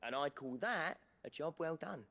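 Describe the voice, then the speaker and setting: A man's voice: fairly high pitch, animated, normal speed, a bit bright. An actor with an English accent playing a good-natured, wry working man in a video game. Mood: cheery, wry. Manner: colloquial, wry. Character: chirpy, good-natured, folksy male working-class villager. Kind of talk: dramatic video game dialogue.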